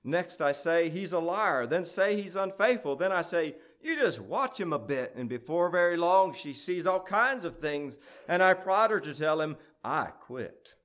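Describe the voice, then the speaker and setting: A man's voice: on the high side, expressive, a little slow, few pauses, fairly bright tone, voice pushed up. A man voicing a sneering, insinuating demon of mistrust in a dramatic verse recitation. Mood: sneering, scheming.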